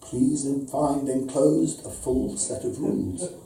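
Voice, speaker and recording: A man's voice: mid-range voice, flat delivery, slow pace, mellow, a little hiss or hum. A man voicing a pedantic, pompous, aggrieved customer reading out a formal, wordy letter of complaint as a live comic story. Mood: pompous, earnest. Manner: formal, wordy, precise, mock-serious. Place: indoor arts venue reading, before an audience. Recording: roomy, distant live event recording.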